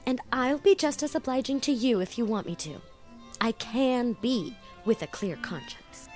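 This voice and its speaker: A woman's voice: fairly high pitch, lively intonation, moderate pace, darkish tone, faint music behind, close to an everyday voice. A woman playing a gushing, vain young student. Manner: gushing, breathless chatter with playful emphasis. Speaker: voice actor. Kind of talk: audio drama acting.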